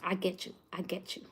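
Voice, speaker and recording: A woman's voice: medium pitch, slightly rough. A woman from New York hosting a live cooking stream, chatting casually with viewers and laughing often. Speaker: cooking show host.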